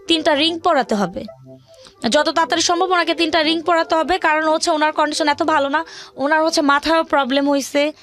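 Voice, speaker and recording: A young Bangladeshi woman speaks in a high voice as a guest recounting her hardships. She talks colloquially and at length, wandering a little and repeating her phrases as she goes. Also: fairly even intonation, brisk, fairly bright tone, soft background music. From rural Bangladesh. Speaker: guest telling her life story. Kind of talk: personal hardship story in an interview.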